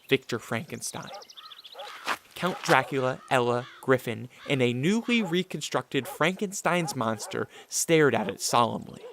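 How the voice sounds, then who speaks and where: A man's voice: medium pitch, fairly bright tone, a little hiss or hum. A man narrating a radio drama in a solemn, dramatic storyteller's style.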